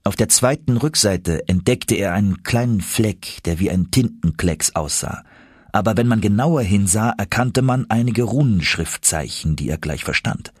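A man's voice: lowish voice, lively intonation, normal speed, husky, no echo, studio quality. A man reading scripted first-person literary narration as an audiobook narrator.

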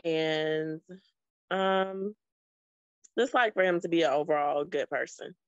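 A woman's voice: low-pitched, slow pace, clear, resonant tone, no echo. A woman in her late thirties from South Florida, chatting on a video call in a friendly, thoughtful way with frequent ums. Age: 30s. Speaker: guest. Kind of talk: casual video call chat. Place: small room indoors. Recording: dull, dry video call audio.

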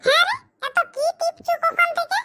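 A boastful young bachelor from a comedy cartoon, chirps in an extremely high, electronically raised voice. The speech is emphatic and bickering, with a rural West Bengali accent. The audio is clearly noisy. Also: male voice, moderate pace. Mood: impatient. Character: boastful, squeaky young bachelor selling saris online. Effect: pitched-up cartoon voice. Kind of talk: comic cartoon dialogue.

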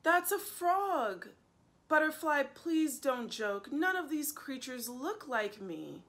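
A woman's voice: high voice, very animated, slow, pushed and bright, full character voice. A woman voicing a small, upset monkey child from a children's storybook, plaintive and insistent as it protests. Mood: sad, pleading. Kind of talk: children's storybook read-aloud.

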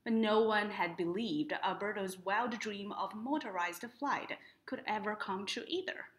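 A young woman reading a children's picture book aloud in an expressive, deliberate storytelling style, adding short explanatory asides. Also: mid-range voice. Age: young adult. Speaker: library storytime reader. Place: indoors in front of a painted woodland mural.

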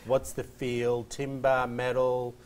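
A man's voice: mid-range voice, completely flat delivery, slow. A middle-aged man hosting a daytime TV show from a studio set, upbeat and chatty, on a lapel mic. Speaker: TV show host. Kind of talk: morning TV chat.

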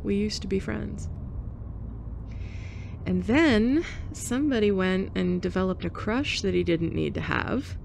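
A woman's voice: mid-range voice, measured pace, creaky, noisy background. A young woman playing a blunt, sarcastic former bully in an audio roleplay, sighing and scoffing as she talks. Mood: confessional. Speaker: ASMR roleplay performer. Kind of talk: F4M ASMR roleplay.

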